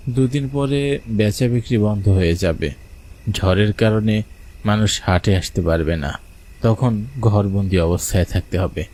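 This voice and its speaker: A low male voice, an actor's, of a poor village fisherman. He narrates his own tale for a cartoon, as a hardworking man of the countryside who also speaks his own dialogue. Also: a little mellow. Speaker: narrator and lead character. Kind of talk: first-person cartoon story narration with acted dialogue.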